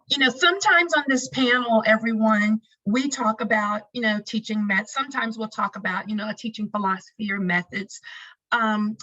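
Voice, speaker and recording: A woman's voice: fairly high pitch, bright, forceful tone. A woman moderating a panel over a video call, chatty and friendly, with many fillers. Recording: dull lo-fi call audio.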